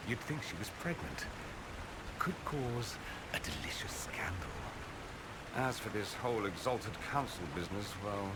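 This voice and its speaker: A man's voice: lowish voice, close to an everyday voice. A man playing a suave, witty aristocratic mage in a video game, arch and dryly ironic. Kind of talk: video game character dialogue. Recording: loud background noise and ambience.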